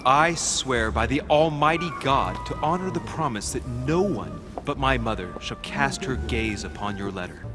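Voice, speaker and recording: An actor playing a polite, composed and calculating young gentleman in a video game, speaking formally and deferentially. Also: mid-range voice, expressive, normal speed, few pauses, natural voice. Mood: persuasive, earnest. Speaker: actor in a narrative adventure game. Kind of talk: cinematic game dialogue. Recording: game dialogue over background music.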